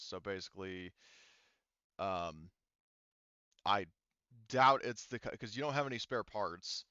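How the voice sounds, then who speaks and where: A man's voice: on the low side, pushed and bright, clear, resonant tone, dry recording, lo-fi sound. A man running a tabletop game as game master, talking casually and explaining the rules, swearing now and then.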